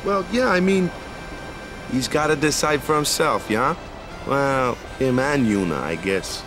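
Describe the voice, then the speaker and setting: A man's voice: medium pitch, lively intonation, measured pace, close to an everyday voice. An actor playing a laid-back, defensive but good-hearted young male athlete in a video game, talking colloquially with tag words. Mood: resigned, thoughtful. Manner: colloquial, tags sentences with "eh", "ya" or "huh". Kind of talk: video game cutscene acting. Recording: music behind the voice.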